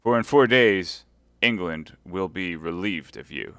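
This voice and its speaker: Male narrator voicing a cold, mocking baron; low, loud, dry. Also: expressive, a little slow, gravelly, dry recording, exaggerated voice. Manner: sneering, mocking, forceful. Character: stern vengeful English baron, mocking and cold.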